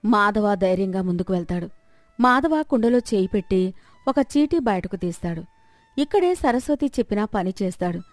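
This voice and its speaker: A woman narrates a scripted moral tale. Her voice sits at a medium pitch and is recorded dry, with no room sound. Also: lively intonation, normal speed, dry recording.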